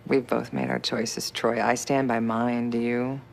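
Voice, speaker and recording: A guarded captive operative with a very low voice full of gravelly fry. It is game drama, with clear noisy ambience behind her. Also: flat delivery, a little slow, full character voice. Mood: taunting, defiant. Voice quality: creaky. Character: defiant captive female operative, sardonic and guarded. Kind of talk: cinematic video game drama acting.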